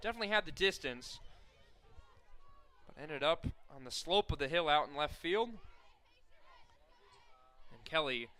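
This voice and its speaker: Bright, crisp male play-by-play announcer. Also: on the high side, animated, unhurried, pauses often. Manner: rises sharply and loud on big plays, corrects himself often. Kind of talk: radio play-by-play commentary.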